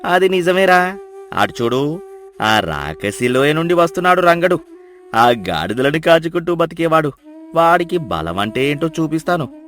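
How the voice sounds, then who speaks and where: A man's voice: a little high, big pitch swings, normal speed, fairly bright tone. A man playing an arrogant, gullible village headman, pompous and commanding as he boasts in a dramatised moral story. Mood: swaggering. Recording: music behind the voice.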